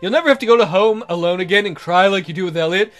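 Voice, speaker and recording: A man's voice: sits high, lively intonation, very fast, a bit bright, soft background music. A man voicing a pushy, jealous male suitor in a romance visual novel, insistent and pleading.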